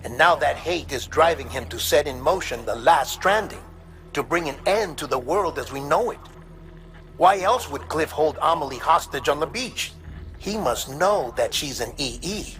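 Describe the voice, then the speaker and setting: An actor playing an earnest, troubled investigator who briefs a colleague and probes theories with pointed questions in a video game. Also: male voice, on the high side, lively intonation, moderate pace, a bit bright, low-quality recording. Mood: urgent, speculative. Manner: earnest, speculative, probing with rhetorical questions. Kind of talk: dramatic video game dialogue, briefing by radio. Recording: music behind the voice.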